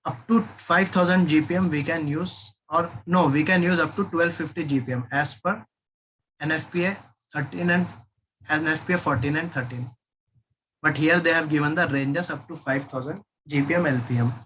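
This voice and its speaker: A man with an Indian accent giving an informal technical lecture as an instructor, explaining in a halting, repetitive way. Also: fairly high pitch, fairly even intonation, fairly bright tone, slight background noise, poor audio.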